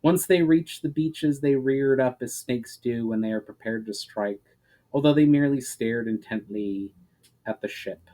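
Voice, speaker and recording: A man with a dark, mellow voice of medium pitch reads a fantasy tale aloud. His narration is dramatic, with sentence endings that fall away softly. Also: moderate pace. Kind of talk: dramatic reading of a fantasy story.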